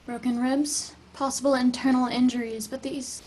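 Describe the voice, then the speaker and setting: High-voiced woman as a focused young castaway. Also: level tone, moderate pace, warm tone, rough recording. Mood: concerned, focused. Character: capable, caring young female space castaway with medical training.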